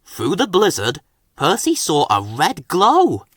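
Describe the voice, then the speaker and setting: A man reading a children's storybook aloud as a scripted narrator, with an expressive, animated delivery. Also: a little high, slow pace, dry recording, clean studio sound. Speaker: story reader.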